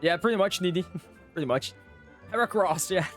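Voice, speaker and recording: A man's voice: high-pitched, expressive, fairly quick, soft background music. A man giving casual, joking live commentary as a game streamer, often repeating his phrases.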